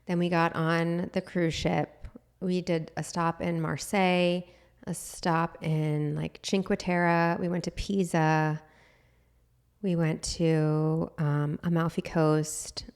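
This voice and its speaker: Brief: slightly hoarse woman in her thirties, podcast co-host, relaxed chatty delivery, laughs easily, lowish voice. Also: slow pace. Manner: chatty, laughs often, lots of "like". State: slightly hoarse, froggy throat. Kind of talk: casual chat.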